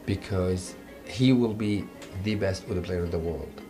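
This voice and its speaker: Low-voiced man over music. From Iraq. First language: Arabic. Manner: halting, repeats words, non-native grammar. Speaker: musician interviewee. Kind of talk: reflective personal interview. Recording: music playing underneath.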